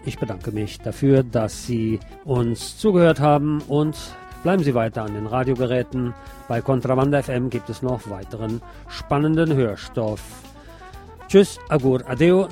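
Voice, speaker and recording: Mellow guy hosting radio, formal announcer style, bit of music behind him. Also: medium pitch, moderate pace. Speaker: radio show host. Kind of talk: radio presenting.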